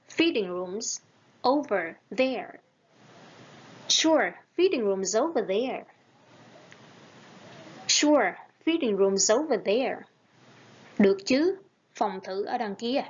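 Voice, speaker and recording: A woman reading short English practice phrases slowly and repeating them, each followed by a Vietnamese translation. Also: a little high, slightly rough, muffled recording. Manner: very slow, carefully spaced phrases.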